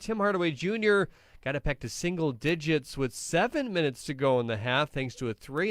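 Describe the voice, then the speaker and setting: Higher-voiced man, dry radio sports recap. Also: a bit bright, no echo. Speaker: halftime show host.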